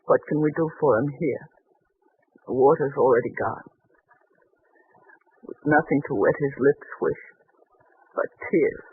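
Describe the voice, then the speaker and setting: A woman's voice: rumbling low, a little slow, pauses often, slightly rough, full character voice. An actress playing a devout, anxious mother with earnest, tender delivery in 1940s radio drama style. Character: devout, anxious mother, steadfast in her faith. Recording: noisy, narrow-band 1940s radio recording.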